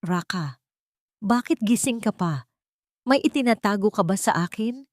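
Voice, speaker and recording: A woman's voice: medium pitch, lively intonation, a little slow, no echo, studio quality, voice pushed up, natural voice. A woman with a Filipino accent voicing a cold, suspicious, accusing rich woman in an audiobook drama. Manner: sharp, suspicious. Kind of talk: audiobook character dialogue.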